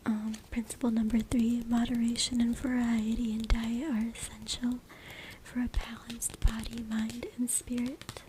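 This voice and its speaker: A woman whispers an ASMR reading in a soft, deliberate way, with a slightly high voice that stays very even. She is close to a USB mic, and there is clear noise with clicks behind her. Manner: soft, hushed, deliberate whispering with repeated words. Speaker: ASMR creator reading from a book. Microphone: close USB microphone. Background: noise, tapping and clicking sounds.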